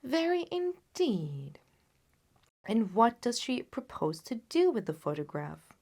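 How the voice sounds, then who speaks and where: A woman voicing a cool, unruffled gentleman detective in a storybook, with a light, nonchalant, questioning manner. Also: on the high side, sing-song, slow, voice pushed up, heavily acted. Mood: cool, inquiring. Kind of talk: dramatised audiobook reading.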